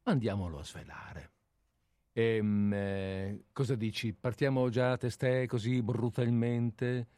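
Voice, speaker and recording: A man co-hosting a radio show, with an average-pitched voice. He talks casually and playfully, hesitating and then slipping into wry mock formality. The sound is very dry, with no room to it. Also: slow pace, dark tone, no room sound.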